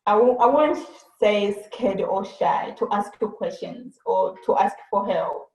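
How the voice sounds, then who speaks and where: A woman's voice: mid-range voice, a little slow, a little mellow. A young woman from Burundi being interviewed on a video call. She speaks hesitantly and repeats words while she thinks. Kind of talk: casual conversation on a video call. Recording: echoey, dull video-call audio.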